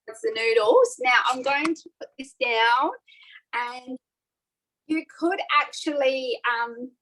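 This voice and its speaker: A woman's voice: high-pitched, lively intonation, slow, a bit bright, low-quality recording. A middle-aged woman, chatting her way through a live online cooking demo with frequent ums. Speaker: cooking class host.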